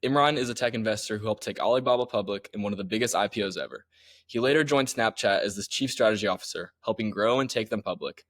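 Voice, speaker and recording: Fast-talking young male podcast host. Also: fairly low pitch, a bit bright, no echo, clean studio sound. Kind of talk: scripted intro then interview questions.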